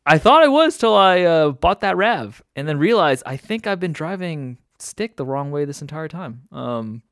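A man's voice: fairly high pitch, big pitch swings, moderate pace, no room sound, clean studio sound. A young man born in Indonesia chatting casually as a guest, rambling with fillers and restarts and laughing often. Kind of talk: casual conversation.

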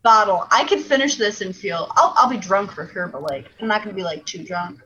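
A woman's voice: mid-range voice, expressive, a little fast, bright, forceful tone, slightly rough, lo-fi sound. A woman comedian co-hosting a podcast, frank and joking, a little tipsy. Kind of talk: casual podcast chat.

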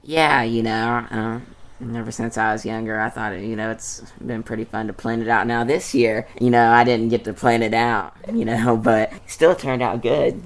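A man's voice: mid-range voice, fairly even intonation, normal speed. A teenage young man, chatting casually and a little hesitantly as an interview guest. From East Tennessee, United States. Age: teenager, about 14. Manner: hesitant, informal, youthful phrasing. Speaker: interview guest, young bluegrass mandolin player.

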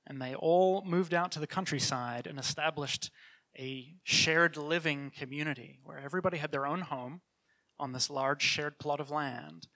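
A middle-aged man from New Zealand, his accent softened by years in Canada, giving an earnest, conversational church talk as a children's ministry leader, with frequent restarts. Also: a little high, normal speed, low-quality recording. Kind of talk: church talk with personal testimony.

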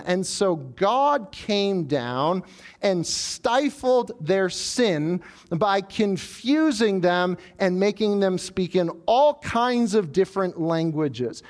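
A man preaching a sermon as pastor, animated and lively, acting out the proud voices of people in the story he tells. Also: high voice. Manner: animated preaching, voicing the proud tower builders.

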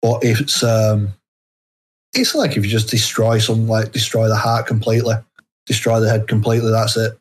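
A British man answering as an interview guest, explaining things casually in a hesitant, halting way. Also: lowish voice, fairly even intonation, slightly rough. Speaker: guest, indie horror author.

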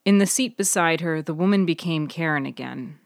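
A woman narrates a serial story in a low register. The sound is dry and close, and she reads in a scripted audiobook style. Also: moderate pace, gravelly, dry recording. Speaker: author and narrator.

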